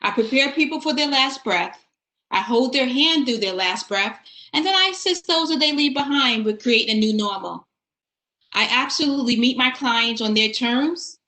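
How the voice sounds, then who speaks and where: A woman end-of-life doula on a video call, giving composed, measured, heartfelt remarks about her work. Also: a little high, expressive, pushed and bright, reverberant. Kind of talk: prepared panel remarks.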